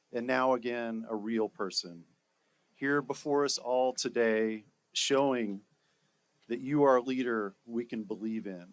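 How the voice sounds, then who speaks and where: Faculty guy at an inauguration, formal speech, bit of humor, mid-pitch voice.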